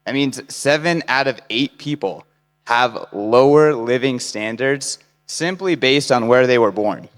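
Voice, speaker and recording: Brief: young male keynote speaker, smooth clear voice, earnest pitch to a crowd, big hall echo. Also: unhurried. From United States. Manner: earnest, persuasive, lists points in short phrases. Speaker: conference speaker. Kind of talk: conference keynote talk. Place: large conference hall. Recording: hall mic with long reverb.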